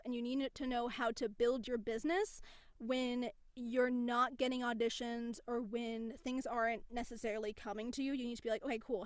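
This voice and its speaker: Woman casting director as guest; lively, fairly high voice, dead-dry mic. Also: dry recording. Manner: animated, explains with quoted examples. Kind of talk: casual interview talk.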